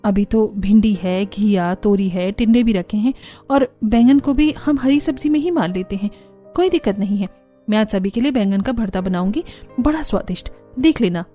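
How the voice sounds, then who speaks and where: A woman's voice: mid-range voice, moderate pace, warm tone, faint music behind, close to an everyday voice. A woman voicing a weary, evasive elder daughter-in-law in a cartoon story, grumbling and placating by turns.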